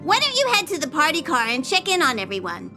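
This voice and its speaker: A woman's voice: high voice, huge pitch swings, quick pace, bright, forceful tone, soft background music, heavily acted. A woman voicing a small, bossy, fretful train conductor creature in a video game, with a scolding manner. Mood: brisk, caring.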